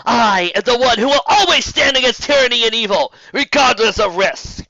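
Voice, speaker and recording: A heroic barbarian pegasus queen, acted out by a man in a fantasy tale. The voice is raised high and forceful, proudly declaiming with defiance. The recording is dry and slightly dull. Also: lively intonation, no echo, exaggerated voice. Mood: defiant, shouting. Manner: loud, declamatory, drawn-out boasting. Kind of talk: amateur fantasy storybook reading.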